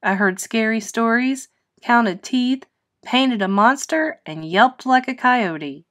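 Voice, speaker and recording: A woman's voice: a little high, animated, slow pace, fairly bright tone. A woman voicing a defiant young monster schoolboy in a children's storybook, with emphatic exclamations. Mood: proud. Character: defiant young monster schoolboy, eager to prove he's scary. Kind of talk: children's storybook read-aloud.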